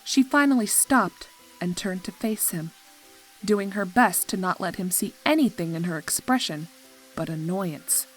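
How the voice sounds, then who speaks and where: A woman's voice: medium pitch, expressive, unhurried, low-quality recording. Woman narrating a story over music.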